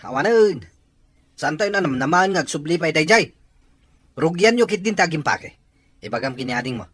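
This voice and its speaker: A man's voice: on the high side, expressive, normal speed, crowd noise, close to an everyday voice. Radio drama role: exasperated Ilocano father, acted by a man, blunt and complaining, shirking his duties. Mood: angry, exasperated. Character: exasperated, irresponsible father of young children.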